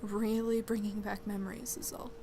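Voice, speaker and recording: A woman's voice: level tone, moderate pace, dark tone, close to an everyday voice. A woman playing a shaken, sorrowful friend in an audio drama, speaking hesitantly over an internet call. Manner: subdued, hesitant. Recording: internet call with rumbling background noise.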